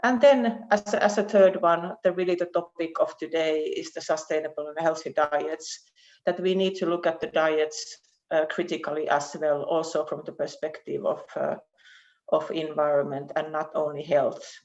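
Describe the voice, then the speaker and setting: A woman with a Finnish accent giving an academic presentation as a research professor over an online call, speaking haltingly with repeated words. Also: low-pitched, steady tone, darkish tone. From Finland. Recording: dull, muffled online call audio.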